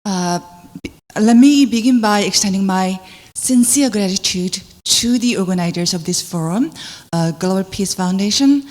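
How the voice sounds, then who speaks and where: A woman working as a consecutive interpreter, rendering a speech into English with careful, slightly halting phrasing. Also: mid-range voice, darkish tone. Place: large conference hall. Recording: conference hall reverb.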